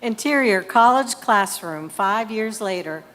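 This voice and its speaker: A woman's voice: mid-range voice, animated, measured pace, fairly bright tone. Woman reading stage directions. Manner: crisp, matter-of-fact. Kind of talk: scripted narration. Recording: long hall reverb.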